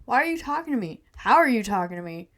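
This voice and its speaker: A woman's voice: medium pitch, animated, moderate pace, darkish tone, lightly acted. A woman voicing an earnest, timid teenage schoolboy in a story, apologetic and full of questions. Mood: puzzled.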